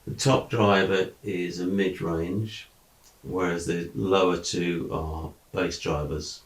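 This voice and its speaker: A man presenting a product review off the cuff, explaining technical detail in a measured way with pauses mid-sentence. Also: sits low, fairly even intonation. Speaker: audio equipment reviewer. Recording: echoey room.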